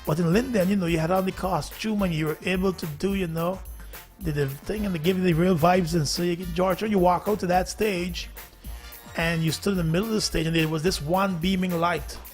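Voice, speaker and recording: A Guyanese man hosting a casual morning radio show, chatty and quick to laugh, with rambling asides. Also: high voice, steady tone, faint music behind. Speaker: radio host.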